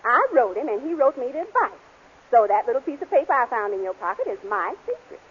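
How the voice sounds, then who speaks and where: High, husky-voiced sweet wife. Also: female voice, sing-song, fast talker. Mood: sweet, confiding. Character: sweetly conciliatory wife of the schemer. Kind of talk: 1940s-50s radio comedy acting. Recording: muffled old radio recording.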